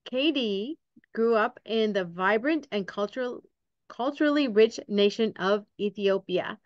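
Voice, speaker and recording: A woman's voice: medium pitch, dry recording, highs cut off. A middle-aged woman hosting a podcast interview over a video call, upbeat and reading her guest's bio aloud. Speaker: podcast host.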